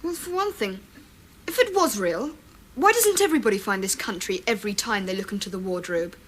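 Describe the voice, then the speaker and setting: A woman's voice: medium pitch, lively intonation, fairly quick, close to an everyday voice. A woman playing a logical, bossy English schoolchild, the eldest sibling. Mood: puzzled, arguing. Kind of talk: radio drama acting.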